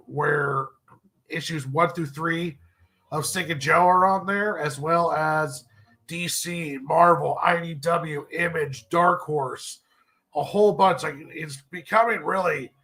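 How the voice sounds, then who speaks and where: A man with a gravelly, creaky voice pitched a little above the usual for men, talking through a stuffed-up, congested nose. He rambles casually as a streamer closing out his show, pausing to clear his throat now and then. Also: bright, forceful tone. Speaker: comic artist streamer. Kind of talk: casual live-stream sign-off.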